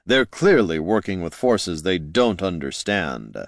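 A man voicing a haughty young male wizard counselor in an audiobook, pompous, with drawn-out vowels. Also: deep voice, sing-song, no room sound, studio quality. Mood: condescending.

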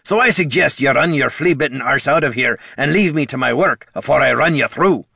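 A man's voice: a little high, huge pitch swings, normal speed, creaky, sharp tone, husky, dry recording, clean studio sound, voice pushed up, full character voice. A man voicing a menacing male pirate assassin who snarls threats in a stage pirate accent.

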